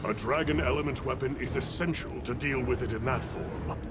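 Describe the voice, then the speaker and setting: An actor playing a commanding, vigilant leader of monster hunters in a video game, giving clipped, authoritative orders. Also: male voice, mid-range voice, a little fast, lightly acted. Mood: stern, composed. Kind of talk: dramatic game voice acting. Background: loud music.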